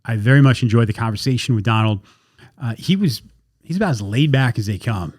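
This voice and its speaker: A man hosting a podcast, talking casually and haltingly, often repeating words as he sets up questions over a video call. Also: mid-range voice, animated, darkish tone, dry recording, studio quality. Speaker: podcast host. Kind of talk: casual podcast intro and interview.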